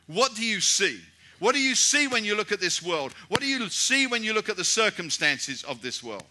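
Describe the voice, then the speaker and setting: A man from Britain delivers a sermon in a high, very bright voice. He talks loosely and conversationally, restarting phrases and hammering the same rhetorical question. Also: sing-song, moderate pace, slight background noise. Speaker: preacher.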